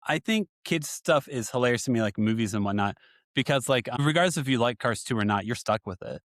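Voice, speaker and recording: A man's voice: medium pitch, expressive, fairly bright tone, dry recording, clean studio sound. Easygoing man hosting a podcast. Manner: easygoing, jokey, quick affirmations. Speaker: podcast host. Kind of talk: casual podcast interview.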